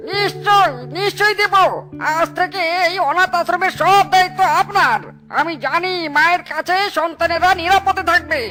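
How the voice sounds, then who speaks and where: Woman as very high-voiced stern boss. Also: fairly even intonation, normal speed, over music. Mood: grateful. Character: stern, caring orphanage benefactor, the boss. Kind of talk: children's cartoon voice acting.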